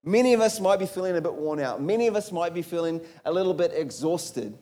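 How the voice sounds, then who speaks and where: A man's voice: on the high side, animated, fast talker, dark tone. A man preaching a conversational sermon in a church, asking the congregation rhetorical questions and restarting his sentences. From New Zealand. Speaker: pastor. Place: church hall. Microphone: handheld or stage mic.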